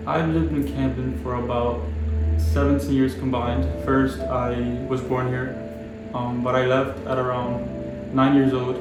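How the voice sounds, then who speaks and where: A young man in his twenties from the Dominican Republic, explaining things in a measured way in a documentary interview. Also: mid-range voice, little pitch movement. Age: 20s. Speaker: planning graduate and local resident. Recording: very echoey room with music behind.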